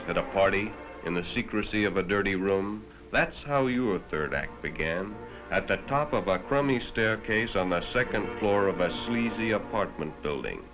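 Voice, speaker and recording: A man's voice: lowish voice, low-quality recording. An American man reading scripted narration in the grave, dramatic, moralizing manner of a 1960s educational film, addressing the listener directly. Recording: vintage 1960s film soundtrack. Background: prominent music.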